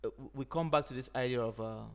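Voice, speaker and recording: A man from Nigeria, an Igbo speaker, talking in a thoughtful, unhurried way as a podcast host. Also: medium pitch. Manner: thoughtful, unhurried, frequent "you know". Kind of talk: reflective conversation.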